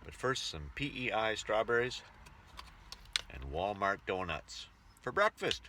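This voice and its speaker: A man's voice: lowish voice, sing-song, unhurried, sharp tone, slight background noise. A man narrating his own casual travel vlog as its host. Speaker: vlog host, touring performer.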